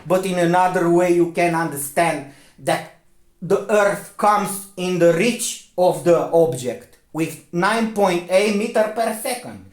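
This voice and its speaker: A man with a Romanian accent, a native Romanian speaker, giving a lecturing commentary, insistent, often checking "okay?" and pausing on fillers. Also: sits high, roomy sound. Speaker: video commentator.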